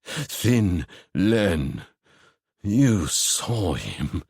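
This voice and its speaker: A male narrator playing a haunted man who mutters dark things slowly and quietly, sounding a little withdrawn from the listener. His low, drawn-out delivery sits in a close, dry recording. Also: very animated, husky, no room sound, heavily acted. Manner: slow, hushed, distant, mumbling. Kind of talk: audiobook character voice.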